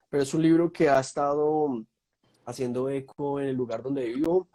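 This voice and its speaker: A man's voice: medium pitch, warm tone. A young Colombian man with a Colombian accent, a guest in a casual video-call chat, speaking thoughtfully and listing topics with many fillers. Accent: Colombian Spanish. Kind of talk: casual online conversation.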